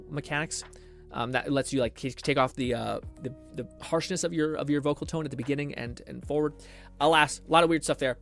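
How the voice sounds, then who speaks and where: A man live streaming, explaining things in a casual, rambling way with self-deprecating swearing. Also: a little high. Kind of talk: casual rambling stream talk with tutorial advice.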